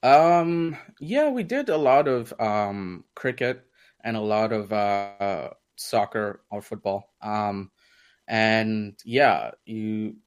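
A young man from India talks casually as an interview guest, in a blended accent with Indian, British and American traces. His voice sits a bit low. He rambles, circling back through fillers and restarts. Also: slow pace, clean tone. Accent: mixed Indian, British and American.

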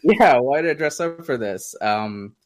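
A man's voice: medium pitch, animated, a little slow. A young man from India with a mixed Indian, British and American accent, chatting as an interview guest and rambling through fillers and restarts. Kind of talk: casual interview conversation.

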